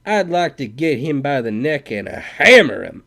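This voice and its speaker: A man voicing a rough, hot-tempered cowboy who talks bluntly and ungrammatically. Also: fairly low pitch, moderate pace, darkish tone, natural voice. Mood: quivering rage.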